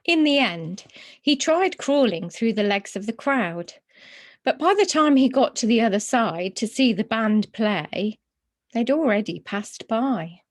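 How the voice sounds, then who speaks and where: A woman's voice: a little high, expressive, a little slow. A woman narrating a children's storybook as a story reader.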